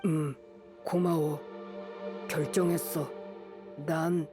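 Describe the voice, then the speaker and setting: A woman voicing a quiet, earnest feral wolf boy in an anime game, speaking in short, blunt, halting sentences. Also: deep voice, flat delivery, music in the background. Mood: wistful, resolved.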